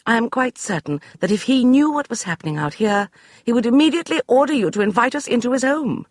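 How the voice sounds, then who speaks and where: A man voicing an imperious upper-class Regency lady with a cool, crisp, commanding voice that brooks no argument. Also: very high voice, animated, quick pace, no room sound, clean studio sound, pitched above the performer's own voice.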